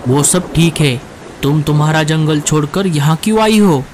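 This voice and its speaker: Cartoon elder crow, male, man performer: slightly high, stern, wary, authoritative; faint background noise. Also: moderate pace, close to an everyday voice. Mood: stern, suspicious. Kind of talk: Hindi cartoon story dialogue.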